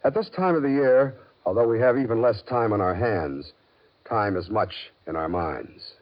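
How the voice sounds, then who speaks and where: A man hosts an old radio suspense play with a solemn scripted introduction. His voice is fairly low and his phrasing literary, on a slightly dull 1950s recording. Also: moderate pace.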